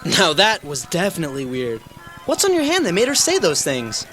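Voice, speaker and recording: A woman's voice: fairly low pitch, wildly swooping pitch, normal speed, fairly bright tone. A woman playing a brash, teasing, curious young boy in an audio drama. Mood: intrigued, concerned. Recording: old cassette with faint music.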